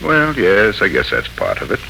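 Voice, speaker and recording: Very deep, gravelly wry uncle. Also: male voice, huge pitch swings, pushed and bright, exaggerated voice. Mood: gently teasing. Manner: dry, teasing, measured. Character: wry, patient, fond uncle. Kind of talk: 1940s radio serial acting. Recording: noisy 1940s radio recording.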